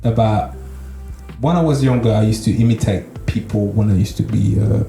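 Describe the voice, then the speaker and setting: A man with a slight French accent talks casually, looping back over his own words as he works toward a point. His voice sits in a medium pitch and carries the echo of a live room, with music playing behind him. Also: moderate pace. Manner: repeats himself, restarts often. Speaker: podcast co-host. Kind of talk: casual chat.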